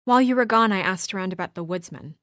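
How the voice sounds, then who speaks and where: An actress playing a brisk, exasperated, dutiful young professional woman in a video game, speaking in a crisp, earnest, businesslike way. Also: mid-range voice, fairly bright tone, dry recording, studio quality, natural voice. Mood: brisk, focused.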